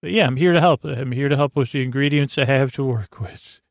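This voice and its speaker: Creaky guy doing a rambly bedtime podcast, kinda goofy, lulling, stops and starts, very dry recording. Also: medium pitch, no room sound. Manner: meandering, halting, goofy; lulling, soothing delivery. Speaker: podcast host.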